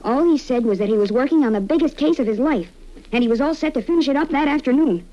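An urgent, pleading boy hero from a vintage radio serial. The voice is high and soft-edged, on a dull old recording. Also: expressive, quick pace. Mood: earnest, worried. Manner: earnest, urgent. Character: anxious, pleading young boy hero's sidekick.

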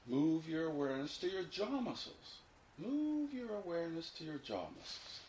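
A man leading a guided meditation, giving unhurried, repeated instructions with long pauses between them. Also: a little high, very animated, a little mellow. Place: informal indoor room. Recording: roomy echo, faint background noise.

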